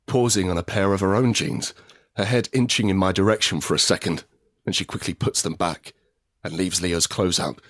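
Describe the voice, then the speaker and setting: A man's voice: low voice, fairly even intonation, normal speed, fairly bright tone. A man acting a desperate, anguished middle-aged father in a post-apocalyptic audio drama, narrating in the first person with raw intensity. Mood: grim, restrained. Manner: intense, emotionally raw, sweary. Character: desperate, anguished father surviving an apocalypse, haunted and guilt-ridden.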